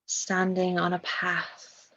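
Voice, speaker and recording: A woman leading a guided meditation in a soft, breathy voice, soothing and hushed, with long pauses between phrases. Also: fairly low pitch, completely flat delivery, lo-fi sound.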